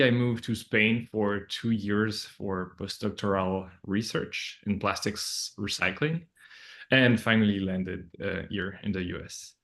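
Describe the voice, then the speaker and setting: A man giving explanatory interview answers as a scientist, in halting, hesitant phrasing. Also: fairly low pitch. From Belgium. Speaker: project scientist, interview guest.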